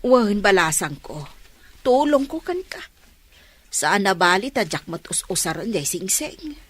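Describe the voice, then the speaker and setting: A woman with an Ilocano accent playing a kindly, concerned older village aunt in a radio drama, sympathetic and expressive. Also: mid-range voice, a little slow. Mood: generous.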